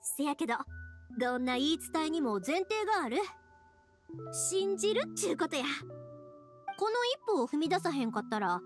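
A woman's voice: high voice, sing-song, normal speed, pauses often. A woman playing a cheerful, earnest young firework-maker in an anime game, speaking expressively with a Kansai Japanese accent. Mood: earnest, thoughtful. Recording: game music behind the voice.

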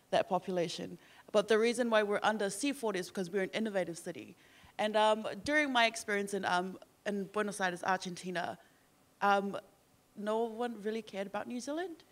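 A young woman from Samoa speaks on an event stage, holding a handheld microphone. She talks in a casual, animated way, full of fillers and restarts. Her voice sits at a middle pitch for a woman. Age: young adult. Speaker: panel guest. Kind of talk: panel discussion. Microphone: handheld mic.

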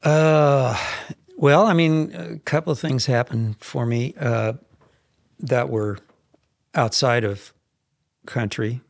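A man in his fifties, a songwriter guest in a podcast studio, telling stories in a rambling, animated way with frequent restarts. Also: medium pitch, takes it slowly, vocal fry, long pauses, no room sound. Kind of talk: casual interview storytelling. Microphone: headphones and boom mic.

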